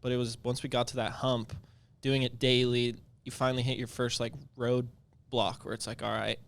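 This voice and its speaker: A young man with an average-pitched voice co-hosts a podcast. His chat is easygoing and loose, and he drops in casual fillers as he goes. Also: level tone, normal speed, creaky. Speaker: podcast co-host.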